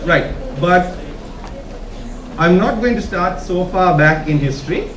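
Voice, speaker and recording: A man's voice: high-pitched, lively intonation, a little slow, fairly bright tone. A man with a Sri Lankan accent giving an informal conference talk, often checking in with his listeners with short questions. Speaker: conference speaker. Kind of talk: informal presentation to an audience. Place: conference room, talk to a live audience. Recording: echoey room from far off, noisy.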